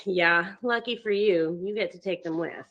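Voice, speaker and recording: A woman's voice: on the low side, normal speed, a bit bright, dull audio. A woman playing a blunt, sarcastic, irritable young female patient in a screenplay table read. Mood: sardonic. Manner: dry, sarcastic, exasperated.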